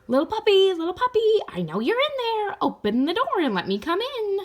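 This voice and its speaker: A woman's voice: very high voice, wildly swooping pitch, a little fast, pitched above the performer's own voice, heavily acted. A woman voicing a big bad female flea from a children's storybook, calling out in a sing-song, taunting way. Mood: taunting, demanding. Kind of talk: children's storybook read-aloud.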